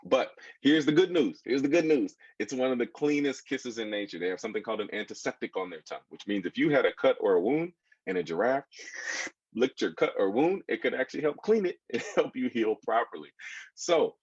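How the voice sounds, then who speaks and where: A man's voice: mid-range voice, lively intonation, a little fast, slightly rough. An American man, a children's book author giving an informal talk with slides in a conversational way, often putting questions to his listeners. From United States. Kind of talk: informal audience presentation with slides. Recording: dull, echoey room sound.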